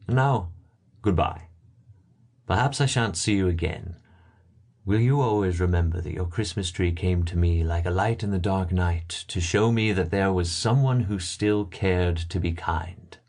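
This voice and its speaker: A deep-voiced man acting as an elderly gentleman from a storybook drama. He is a bereaved father, solemn and earnest, speaking with gentle sorrow. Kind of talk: dramatic audiobook reading.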